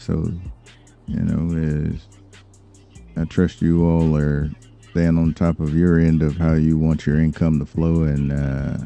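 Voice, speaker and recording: A man playing a calm, smooth crime-boss lawyer in an improvised game roleplay, talking in an unhurried, businesslike way with pauses. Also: deep voice. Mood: measured. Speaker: gaming streamer playing a character.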